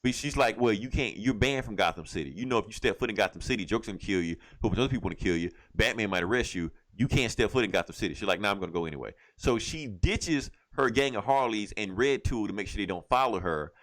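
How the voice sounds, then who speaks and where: A man's voice: lowish voice, expressive, fast talker, few pauses, fairly bright tone. A man co-hosting a podcast, casually retelling a story in a rambling, irreverent, slangy way with plenty of swearing. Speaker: podcast co-host. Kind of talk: casual recap of a comic book, profane banter.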